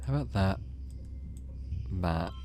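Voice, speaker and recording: A man's voice: low voice, animated, slow pace, a little mellow. A man hosting a let's play, commenting on a game and reading its text aloud. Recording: clear background noise.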